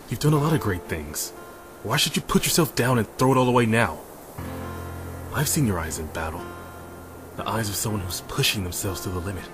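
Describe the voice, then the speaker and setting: A man's voice: fairly low pitch, close to an everyday voice. A man playing a loyal, encouraging soldier in a fantasy audio drama, speaking earnestly and reassuringly. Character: loyal, encouraging male soldier comrade of a werewolf army.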